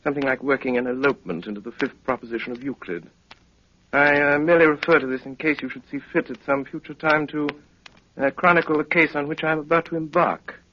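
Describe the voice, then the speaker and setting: Male actor as self-assured theatrical detective, slightly high voice, dry wit, dull old radio sound. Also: steady tone, fast, slight background noise, close to an everyday voice. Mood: measured, haughty. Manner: clipped, theatrical, haughty, hesitating with dry understatement. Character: brisk, eccentric, self-assured male detective, dryly amused. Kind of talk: 1930s radio drama acting. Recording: dull vintage 1938 radio broadcast.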